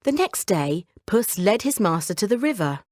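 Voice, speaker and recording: Lively woman reading a bedtime story. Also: mid-range voice, normal speed, no echo, clean studio sound. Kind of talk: scripted children's bedtime story narration.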